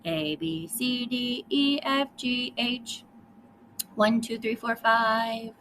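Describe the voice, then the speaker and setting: A woman's voice: on the high side, slow, a bit bright, soft background music, voice pushed up, rough recording. A woman voicing doting storybook parents who gush and fuss in a sing-song, adoring way.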